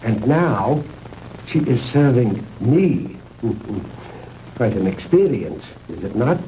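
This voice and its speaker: Casting: a condescending German mad scientist for vintage sci-fi film, grandly polite, wide swoops, noisy soundtrack. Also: male voice, medium pitch, big pitch swings, takes it slowly, mellow. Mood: smug. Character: mad Nazi scientist, proud, theatrically courteous, fanatical. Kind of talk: 1960s B-movie acting.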